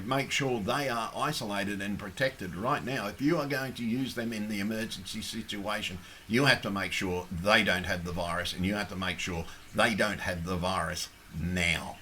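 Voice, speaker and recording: Man, Australian accent, hoarse, croaky, ranting, blunt, swearing, bright forceful tone. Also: fairly low pitch, slight background noise. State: hoarse, losing his voice. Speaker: activist. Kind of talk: impassioned direct-to-camera appeal.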